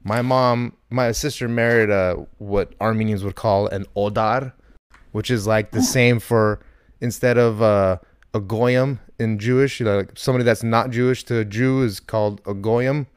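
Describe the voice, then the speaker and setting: A man's voice: fairly low pitch, fairly even intonation, moderate pace, clear, resonant tone, dry recording, clean studio sound. A man hosting a comedy podcast, chatting casually and joking.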